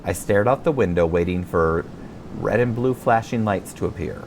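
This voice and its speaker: A man reading his own memoir as a scripted audiobook, telling it in a wry, expressive storyteller's manner. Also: lowish voice, normal speed, creaky, a little hiss or hum.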